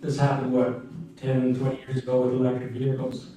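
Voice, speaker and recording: A man giving a webinar presentation, speaking haltingly with restarts and fillers, recorded from a distance in a meeting room. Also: mid-range voice, monotone, dark tone, slight background noise. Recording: echoey room, from far off.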